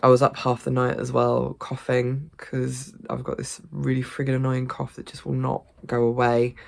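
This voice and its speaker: A woman's voice: extremely low pitch, little pitch movement, moderate pace, creaky. A British woman chatting casually in a vlog, rambling and swearing freely, sounding a bit congested. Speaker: gaming vlogger.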